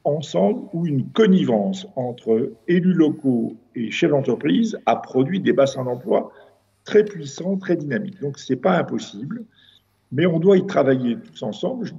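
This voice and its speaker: A man's voice: medium pitch, lively intonation, gravelly, mellow, slightly rough, lo-fi sound. A man from France, a city councillor, earnestly explaining a report as a discussion guest. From France, Avignon. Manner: earnest, measured, with frequent restarts.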